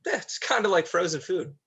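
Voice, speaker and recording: A nervous, apologetic killer from a horror stage play, acted by a man. His voice is bright and tense, leaping up and down in pitch as he rambles haltingly like an awkward eldest brother. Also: expressive, creaky, breathy edge, exaggerated voice. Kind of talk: dramatized horror play reading.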